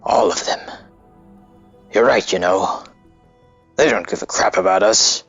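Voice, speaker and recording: A man's voice: sits low, unhurried, gravelly, a bit bright, dull audio, faint music behind, exaggerated voice. A man playing a loyal, streetwise friend, colloquial and earnest, in a comic dub. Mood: concerned. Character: loyal, streetwise male friend, concerned and pleading.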